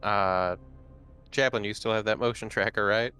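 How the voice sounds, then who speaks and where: A man's voice: lowish voice, steady tone, normal speed, bright, forceful tone, smooth. A man chatting casually as a player in a tabletop roleplaying game. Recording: background music under the voice.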